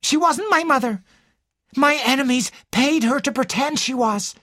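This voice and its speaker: A woman's voice: fairly high pitch, measured pace, no echo, studio quality, pitched above the performer's own voice. A woman narrator voicing an intense, fearful and proud young woman in an audiobook. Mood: proud, angry. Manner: intense, indignant, secretive. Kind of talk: audiobook character dialogue.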